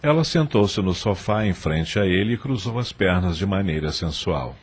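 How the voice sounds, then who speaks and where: A man's voice: sits low, animated, normal speed, husky. A man reading scripted narration for a dramatized audio novel.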